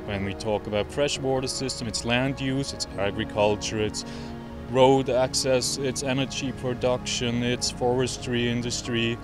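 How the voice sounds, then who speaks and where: A man's voice: mid-range voice, flat delivery, measured pace. Swiss-accented man explaining outdoors. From Switzerland. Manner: explanatory, searching for words. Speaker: interviewee biologist. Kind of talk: news interview. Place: outdoors in a park. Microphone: lapel. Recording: music bed under speech.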